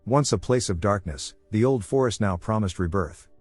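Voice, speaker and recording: A man reading scary first-person stories as scripted narration, in a steady, measured way. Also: fairly low pitch, husky, dry recording, soft background music. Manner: steady, measured storytelling delivery. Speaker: voice actor narrating horror stories.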